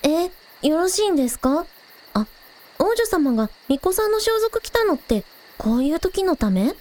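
A woman acts out a young village idol in a radio drama with a high, expressive voice. She is friendly and eager, polite one moment and casually teasing the next. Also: moderate pace. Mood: excited. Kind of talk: Japanese audio drama dialogue.

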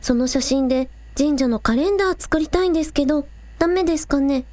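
A woman's voice: high-pitched, fairly quick, warm tone, dry recording, lightly acted. A woman playing a spirited, earnest young village idol, polite with superiors and breezy with friends, in a radio drama. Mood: eager, polite.

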